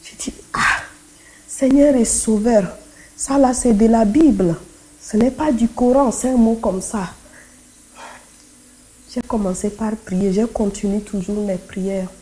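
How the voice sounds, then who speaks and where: A woman with a fairly high, very mellow voice shares her religious testimony. Her native language is Kotokoli. She tells it as a dramatic story, repeating phrases for emphasis and voicing the dialogue she quotes. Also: a little slow, long pauses, poor audio. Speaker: church testimony speaker.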